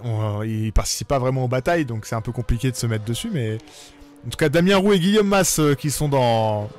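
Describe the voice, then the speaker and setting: A man giving relaxed live commentary on a sim racing event, with many hesitations and fillers. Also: mid-range voice, a little fast, faint music behind.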